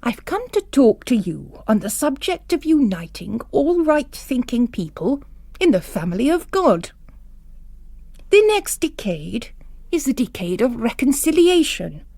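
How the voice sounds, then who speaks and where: A woman's voice: fairly high pitch, very animated, a little slow, vocal fry, dark tone, no echo, exaggerated voice. A woman voicing a jovial but bullying, pompous male bishop with a declamatory, demanding manner in an audio story.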